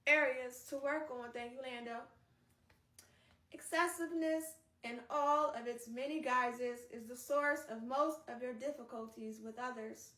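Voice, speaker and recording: High-voiced expressive woman in an echoey room. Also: unhurried. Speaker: host reading a book aloud on a live stream. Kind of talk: read-aloud narration with casual chatty asides. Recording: roomy echo, distant microphone.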